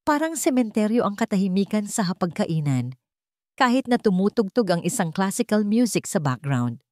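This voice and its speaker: Low-pitched Filipino woman narrating drama, dry sound. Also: mellow, dry recording. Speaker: audiobook narrator. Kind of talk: dramatic scripted first-person story narration.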